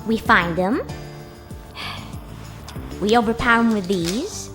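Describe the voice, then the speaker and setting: A woman's voice: medium pitch, animated, takes it slowly, over music. A woman voicing a bossy, foul-mouthed schemer in an amateur crime film dub, brash and commanding. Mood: scheming.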